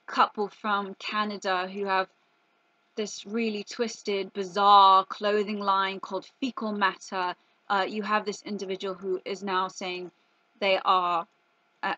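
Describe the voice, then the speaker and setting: A woman's voice: medium pitch, sharp tone, dry recording, muffled recording. A woman delivering an emphatic commentary monologue, punctuating her points with rhetorical questions and exclamations. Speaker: video commentator.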